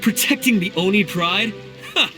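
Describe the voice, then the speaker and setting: A bitter young oni outlaw voiced by a man in a dramatic game scene. The voice sits a little high for a man and is full of scorn and reproach. Music plays clearly behind it. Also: normal speed, close to an everyday voice. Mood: scornful. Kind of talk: dramatic video game dialogue.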